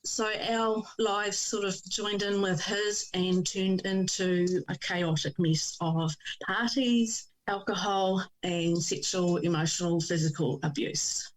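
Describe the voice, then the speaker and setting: Measured New Zealand woman in her fifties. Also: lowish voice, fairly even intonation, rough recording. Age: 50s. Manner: measured, with frequent pauses and ums. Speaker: podcast guest. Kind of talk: personal testimony interview.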